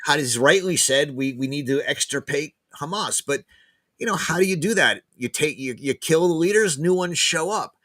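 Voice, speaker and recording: A man, a guest professor, talking casually in an interview, pausing and repeating words as he thinks aloud. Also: a little high, animated, a bit bright, dry recording. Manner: hesitant, many restarts and repeated words.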